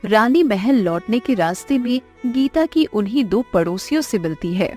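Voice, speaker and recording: A woman's voice: a little high, animated, moderate pace, darkish tone. A woman giving scripted storybook narration for a cartoon. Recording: music under the voice. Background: background music.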